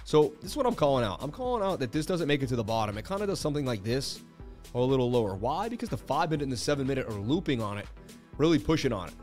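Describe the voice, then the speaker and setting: Host: man, going hoarse, boastful, excitable trading streamer, faint music bed behind. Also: medium pitch, rapid-fire. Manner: hyped, boastful, laughing banter with chat. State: losing his voice. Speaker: crypto livestream host. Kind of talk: casual livestream market commentary.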